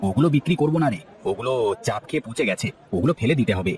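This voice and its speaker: A man voicing a blunt but kindly fishmonger in a cartoon, with a gruff, familiar manner. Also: mid-range voice, lively intonation, very fast, darkish tone, slight background noise, pitched below the performer's own voice, lightly acted. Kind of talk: cartoon voice acting. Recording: music bed behind.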